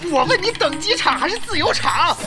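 A young gamer with a high, very lively voice. The character is eager and ingratiating, easily exasperated, in an audio drama with music behind. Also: female voice, a little fast. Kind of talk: Chinese audio drama acting.

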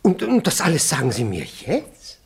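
An actor playing a pompous, fussy older male department chief in a radio play, halting and repeating himself. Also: on the high side, wildly swooping pitch, gravelly, husky, exaggerated voice. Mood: indignant, alarmed. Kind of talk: German radio comedy acting.

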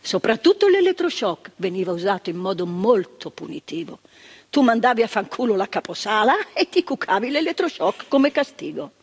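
An actress playing an older woman who once worked in a psychiatric hospital, telling her memories, dropping to hushed tones and rising to emphatic outbursts. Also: mid-range voice, big pitch swings, normal speed, husky. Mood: indignant recollection. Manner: vivid storytelling, swinging from hushed to emphatic outbursts. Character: older woman, former asylum ward worker, indignant. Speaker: monologue performer. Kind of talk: stage monologue.

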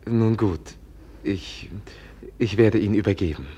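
Casting: guileless young prince, low mellow voice, courteous and hesitant, 1950s radio play. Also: male voice, takes it slowly, a little hiss or hum. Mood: polite, reluctant. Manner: hesitant, polite, earnest. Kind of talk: 1950s German radio drama acting.

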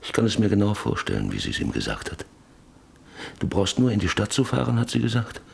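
An actor playing a troubled farmer father in a German radio drama, recalling the past in halting, repetitive phrases. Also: fairly low pitch, wildly swooping pitch, gravelly, husky, exaggerated voice. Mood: haunted, reproachful. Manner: halting, repetitive, brooding delivery. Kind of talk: German radio play acting, reflective monologue.

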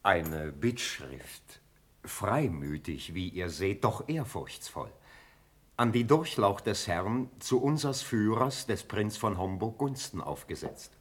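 An actor playing a deferential noble officer in a classical radio drama, speaking formal, earnest, measured verse. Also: male voice, lowish voice, animated, slight background noise. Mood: respectful, earnest.

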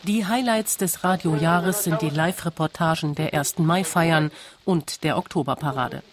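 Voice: mid-range voice, normal speed. A narrator reading a scripted radio documentary.

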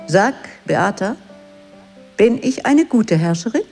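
A woman's voice: mid-range voice, very animated, slow pace, darkish tone. A woman playing a vain, anxious queen who seeks reassurance in a radio play. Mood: imperious, probing. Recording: long hall reverb, faint music behind.

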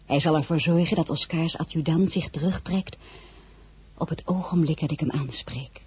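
A woman's voice: deep voice, normal speed, a little mellow, husky. A woman playing a discreet middle-aged noblewoman who narrates her own story and often drops into a whisper. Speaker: narrator and lead character. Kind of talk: radio play acting with diary narration.

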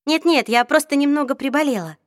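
A woman voicing a lively teenage girl. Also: sits high, fairly quick, no room sound, studio quality, voice pushed up, natural voice. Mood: light. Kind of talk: audiobook reading.

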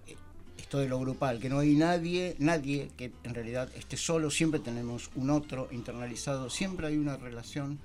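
An Argentine man hosting a radio talk show, speaking informally and haltingly as he searches for words in a discussion. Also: medium pitch, darkish tone. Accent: Argentine Spanish. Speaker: radio host. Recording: music under the voice. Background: music.

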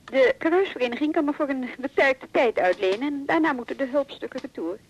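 An actress playing an officious, unbending clerk on the telephone in a radio drama, formal and matter-of-fact. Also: female voice, sits high, animated, a little fast, highs cut off, a little hiss or hum. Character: officious, unbending female lending-desk clerk.

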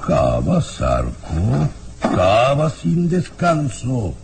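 A man's voice: medium pitch, huge pitch swings, very slow, vocal fry, noisy background, heavily acted. An actor playing a haughty, villainous old nobleman in a radio drama, with an imperious, disdainful and theatrical delivery. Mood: cold disdain.

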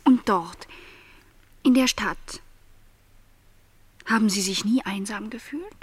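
An actress playing an earnest, lonely, vulnerable young housemaid in a literary radio drama, breathy and softly insistent as she questions. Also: medium pitch, slow pace, no echo. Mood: anxious, intent. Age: young adult. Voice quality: breathy, often near a whisper.

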